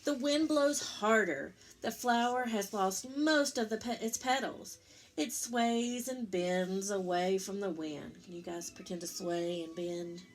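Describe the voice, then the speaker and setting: Unhurried woman reading to children. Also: mid-range voice. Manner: explains to young listeners, asking them questions. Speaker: teacher reading a picture book aloud. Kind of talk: children's story read-aloud with explanatory asides.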